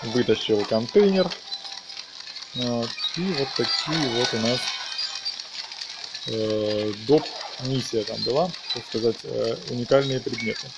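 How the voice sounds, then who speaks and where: A man narrates his video game in a casual let's play style. He talks along with the action playfully, in a mid-pitched voice. The recording is clearly noisy, with game sounds behind him. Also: slow pace. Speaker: gameplay commentator.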